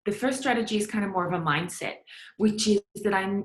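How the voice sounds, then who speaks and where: Aussie woman as interview guest, medium pitch, chatty and expressive, really stresses her points. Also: a little fast, rough recording. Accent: Australian. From Australia. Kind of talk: conversational interview storytelling and advice.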